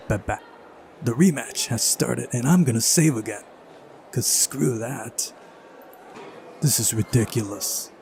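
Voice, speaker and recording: Male let's player, mellow and mid-pitched, slow casual delivery over a visual novel, light noise behind him. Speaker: let's play host. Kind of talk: visual novel playthrough, reading dialogue aloud with casual commentary.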